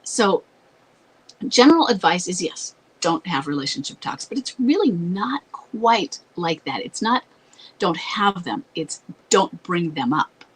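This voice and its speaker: A woman hosting an advice talk, congested and scratchy as her voice gives out, explaining in a halting way. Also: lowish voice, big pitch swings, breathy edge. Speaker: advice show host. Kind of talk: advice talk with read-out letters.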